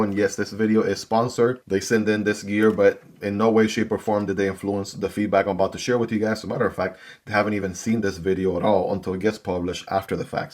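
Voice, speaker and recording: A man hosting his own gear channel, chatting casually to viewers as he unboxes and reviews a knife. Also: sits low. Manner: chatty, talks straight to viewers.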